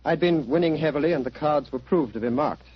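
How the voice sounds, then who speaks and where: Muffled radio play, man acting upright young captain, husky, rapid, earnest, troubled. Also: a little high, lightly acted. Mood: grim, polite. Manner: earnest, courteous. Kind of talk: 1940s radio drama acting. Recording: dull 1940s radio recording.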